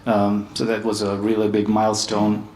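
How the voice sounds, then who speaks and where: A man from Hungary giving a conference panel talk, steady and hesitant with many ums. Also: lowish voice, normal speed, roomy sound. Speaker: panel speaker. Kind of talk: conference presentation.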